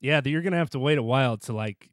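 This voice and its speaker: A man hosting a casual interview podcast, friendly, asking his questions slowly and deliberately. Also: medium pitch, no echo, clean studio sound. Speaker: podcast host.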